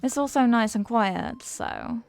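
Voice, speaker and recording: A woman's voice: on the high side, lively intonation, measured pace, creaky, darkish tone, dry recording, faint music behind. A woman playing a flirty, confident, playful stranger in an intimate roleplay, with a teasing, coy, sweet-talking manner. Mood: warm, inviting. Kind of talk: intimate roleplay audio acting.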